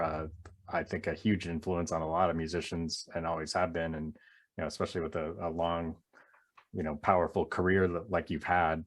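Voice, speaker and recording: A man hosting an interview, chatting casually in an agreeable, conversational way. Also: deep voice. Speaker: interview host. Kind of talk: casual chat.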